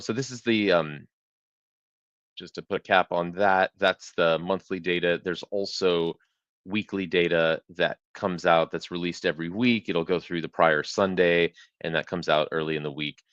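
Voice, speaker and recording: A man's voice: sits low, fairly bright tone, no echo, muffled recording. A man hosting a live real estate webinar, explaining data tools in a conversational way with frequent restarts.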